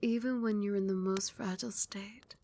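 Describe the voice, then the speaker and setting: A woman playing a regal, possessive yet tender vampire queen in an ASMR roleplay, speaking softly and soothingly and often slipping into breathy whispers. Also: mid-range voice, fairly even intonation, normal speed, a little hiss or hum, natural voice. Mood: adoring, teasing.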